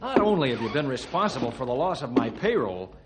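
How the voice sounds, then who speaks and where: An actor playing a blustery, hot-tempered but fair boss in an old-time Western radio drama, gruff and exclamatory. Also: male voice, medium pitch, sing-song, brisk, close to an everyday voice. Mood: indignant. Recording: very noisy vintage radio recording.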